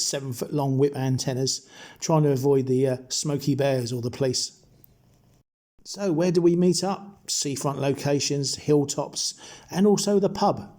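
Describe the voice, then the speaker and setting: A middle-aged or older man narrating a nostalgic retrospective in an informal, measured explaining way as a hobbyist presenter. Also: on the high side, mellow.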